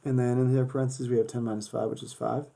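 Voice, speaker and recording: A man's voice: mid-range voice, level tone, fast talker, gravelly, mellow. A man teaching a math lesson, working through problems step by step in a casual way while losing his voice. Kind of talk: worked-example math lesson. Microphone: camera over a desk filming paper and hands.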